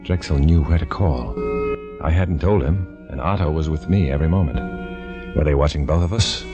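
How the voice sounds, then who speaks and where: A man's voice: rumbling low, normal speed, highs cut off, over loud music. An actor playing a tough undercover agent who narrates his own story in a 1950s radio drama, with terse, hard-boiled delivery. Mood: grim narration. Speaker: narrator and lead.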